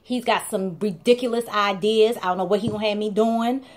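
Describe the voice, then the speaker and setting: A woman's voice: mid-range voice, steady tone, brisk. Chatty woman vlogging to camera. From Baltimore, USA. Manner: chatty, playful, emphatic repetition. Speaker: blog co-host. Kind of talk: casual talking-to-camera vlog. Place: small indoor room.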